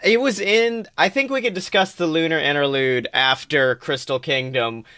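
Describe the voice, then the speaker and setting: A man co-hosting a podcast in casual fan chat, retelling things in an animated, conversational way. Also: a little high, normal speed, clear, resonant tone, no echo, studio quality. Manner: animated retelling with acted-out quotes, casual swearing. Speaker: podcast co-host.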